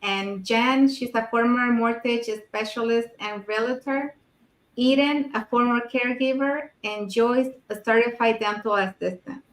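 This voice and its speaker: A woman's voice: a little high, a little slow. A woman from Mexico co-hosting an online talk show, reading out questions and names. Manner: reads questions and lists. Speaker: co-host. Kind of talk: casual talk show chat. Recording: roomy echo.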